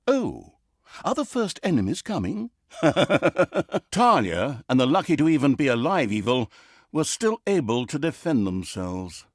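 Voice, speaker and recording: A man's voice: medium pitch, dry recording, studio quality, exaggerated voice. A man playing a wry, pompous storyteller narrator in a fantasy game, with grandiose, sarcastic delivery. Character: wry, pompous male storyteller narrator mocking villains and heroes. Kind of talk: theatrical comic storybook narration.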